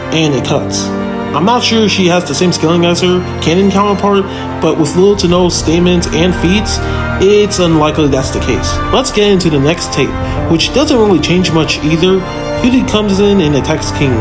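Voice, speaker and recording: A man giving informal analytical video commentary, talking straight to viewers in a conversational way with rhetorical questions. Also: a little high. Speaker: video narrator, fan power-scaling reviewer. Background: background music.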